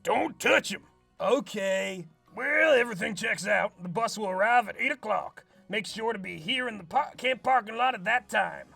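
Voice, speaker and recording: A man voicing a heavily armed, heavyset male camp director who talks in a brisk, businesslike way. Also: sits high, creaky, sharp tone, breathy edge, faint music behind, full character voice.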